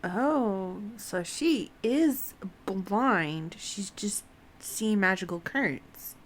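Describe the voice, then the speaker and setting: A woman's voice: medium pitch, animated, drawn-out words. A woman streaming a game, giving casual live commentary in short murmured reactions, as if muttering to herself.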